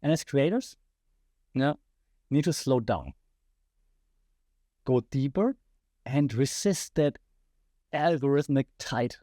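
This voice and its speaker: A man's voice: medium pitch, expressive, slow, long pauses, warm tone, no echo, studio quality. A man with a German accent hosting a podcast, giving passionate solo commentary and putting rhetorical questions to his listeners. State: slightly losing his voice. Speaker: podcast host.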